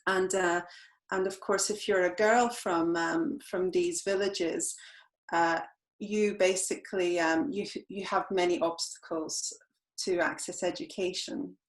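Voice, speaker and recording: A British woman with a fairly low voice gives an informal talk for an education charity. She explains things in a reflective way. The sound is clearly roomy and echoing. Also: steady tone, measured pace. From United Kingdom. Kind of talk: informal presentation.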